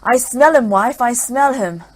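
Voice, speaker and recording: Booming storybook ogre, gruff and greedy, with a husky edge; a woman reads him. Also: lively intonation, measured pace. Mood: bellowing. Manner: loud bellowing calls.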